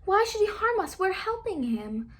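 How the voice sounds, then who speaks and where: A woman voicing a trusting female mouse, a dentist's kindly wife, reasoning gently in a storybook. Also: very high voice, big pitch swings, moderate pace, voice pushed up.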